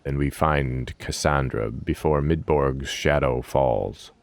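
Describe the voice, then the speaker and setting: Horned warrior played by a man: giant, grave, rumbling very low, slow delivery, fantasy story. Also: gravelly, dry recording, full character voice. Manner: slow, terse.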